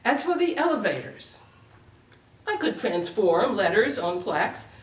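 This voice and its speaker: An older woman reading her own personal essay to a live room, with deliberate, literary phrasing. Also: medium pitch, sing-song. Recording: roomy, distant mic, faint noise.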